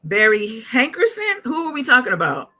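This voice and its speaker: Bright-voiced woman chatting on a live stream. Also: fairly high pitch, very animated. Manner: conversational, halting, thinking aloud. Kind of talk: casual live commentary, reading and answering viewer comments.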